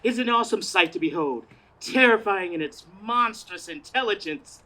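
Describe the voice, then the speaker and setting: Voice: mid-range voice, expressive, normal speed, close to an everyday voice. An actor playing a flustered, awestruck radio news reporter who stammers through a melodramatic live report on an outdoor stage. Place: outdoors in a parking lot. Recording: outdoor stage recording, noisy background.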